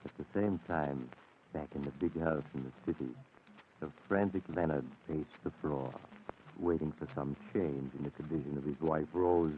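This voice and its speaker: An actor playing an ominous storyteller, narrating a 1940s radio mystery in a deliberate, dramatic way. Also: male voice, very deep voice. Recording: noisy, tinny old radio recording.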